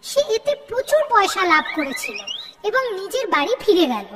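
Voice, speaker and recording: A woman's voice: very high-pitched, wildly swooping pitch, normal speed, long reverb tail, a loud crowd. A woman narrator reading a scripted fairy tale for a children's cartoon.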